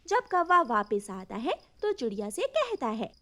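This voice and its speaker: A woman's voice: on the high side, very animated, moderate pace, fairly bright tone. A woman narrating a scripted children's story as its storyteller.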